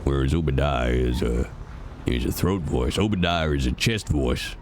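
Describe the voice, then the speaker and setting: A man's voice: very deep voice, expressive, normal speed, background noise. A man streaming a roleplay game, chatting to viewers with a stuffy nose from a cold, rambling and swearing freely. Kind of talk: casual chat to viewers while playing.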